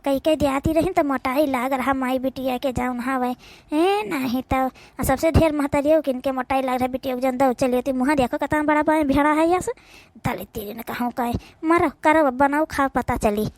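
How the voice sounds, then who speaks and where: A very high-pitched woman's voice with an Awadhi accent, recorded dry and close. She acts out village family drama for a cartoon, complaining in colloquial rural speech. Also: normal speed, no echo. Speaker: cartoon voice performer.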